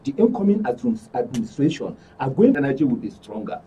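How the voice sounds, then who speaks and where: A man's voice: medium pitch, big pitch swings, fast, dark tone, breathy edge. A Nigerian man speaking earnestly and formally as a guest on a studio panel. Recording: dull, distant mic with background noise.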